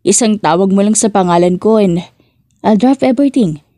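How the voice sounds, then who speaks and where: A woman voicing a devoted young male suitor in an audiobook romance, in an earnest, soft-spoken and measured way. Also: medium pitch, no echo, clean studio sound, close to an everyday voice. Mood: tender, relieved. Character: devoted young man, wounded yet tender suitor.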